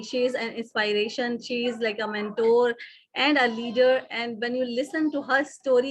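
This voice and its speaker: Halting woman host, fairly high voice. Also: dry recording, rough recording. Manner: halting, searching for words. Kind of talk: interview hosting.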